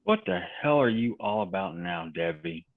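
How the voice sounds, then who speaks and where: Guy doing an exasperated husband, defensive, lowish voice that moves around, tinny call audio. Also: lively intonation, moderate pace. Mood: indignant. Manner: indignant. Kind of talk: film script table read. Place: remote video call rehearsal. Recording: thin, phone-like video call audio.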